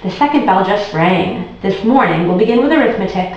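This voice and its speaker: A woman's voice: mid-range voice, expressive, fairly quick, close to an everyday voice. A woman voicing an orderly, weary but kind schoolteacher in a children's storybook. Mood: brisk. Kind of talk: children's storybook read aloud. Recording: very echoey room, distant muffled mic.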